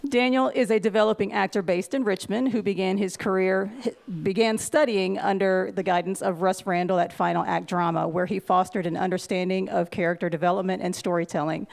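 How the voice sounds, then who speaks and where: A woman's voice: medium pitch, darkish tone. A woman hosting a live table read, introducing each actor in an upbeat announcing manner. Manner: upbeat, announcing, with gravelly fry. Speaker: event host introducing the cast. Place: live venue.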